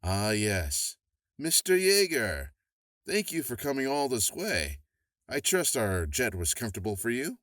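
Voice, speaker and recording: A man's voice: medium pitch, wildly swooping pitch, a little slow, fairly bright tone, dry recording, clean studio sound. A man playing an affable, persuasive government official in an audio drama. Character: smooth, folksy male government official.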